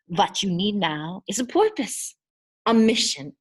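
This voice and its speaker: A woman's voice: mid-range voice, big pitch swings, measured pace, breathy edge. Woman acting an emphatic, declamatory storybook genie man. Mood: emphatic, encouraging. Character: swarthy male genie, exotic, emphatic and encouraging.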